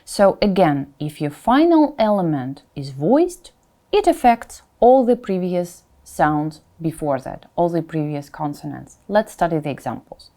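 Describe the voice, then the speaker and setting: A woman's voice: lowish voice, very animated, unhurried, mellow. A woman teaching a pronunciation lesson, repeating example words distinctly and checking in with learners informally. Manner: informal asides, checks in with "right?". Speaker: Russian language teacher.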